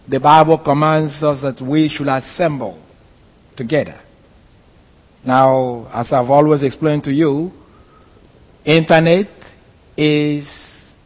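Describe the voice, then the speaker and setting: A Nigerian-accented pastor recounts events to his congregation, his voice sitting a little high for a man. He tells it haltingly, full of "uh"s and restarts. The sound carries a long reverb, as if heard in a large hall. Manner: halting storytelling with frequent fillers and restarts. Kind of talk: sermon testimony.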